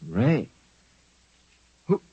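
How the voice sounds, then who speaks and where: An actor playing a drugged private detective who pleads and stammers in a 1950s radio drama. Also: male voice, extremely slow, mellow, dull audio. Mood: groggy, confused. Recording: old radio recording, faint hiss.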